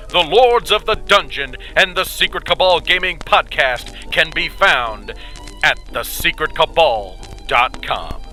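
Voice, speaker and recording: Bright, high-voiced male announcer. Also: rough recording. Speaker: announcer reading credits. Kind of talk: scripted credits read. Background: faint music.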